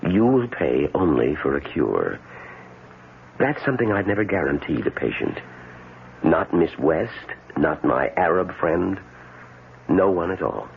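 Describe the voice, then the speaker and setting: An actor playing a calculating charlatan doctor and faith healer, with formal, theatrical delivery in old-time radio drama style. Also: male voice, low-pitched, very animated, measured pace, vocal fry, a little hiss or hum, heavily acted. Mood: feigned reluctance. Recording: dull lo-fi old radio recording, loud music.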